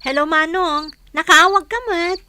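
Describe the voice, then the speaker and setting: A woman playing a lively young village woman chatting with her friend in an Ilocano comedy radio drama. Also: very high voice, measured pace, noisy background. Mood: concerned, eager.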